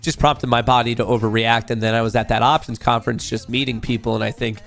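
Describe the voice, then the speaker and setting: A young man hosting a loose, joking livestream, talking straight to his chat. His voice has a gravelly, fry-tinged edge and a slightly nasal sound from a cold he's getting over. Soft music plays faintly behind him. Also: medium pitch, level tone, fast. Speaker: livestream host.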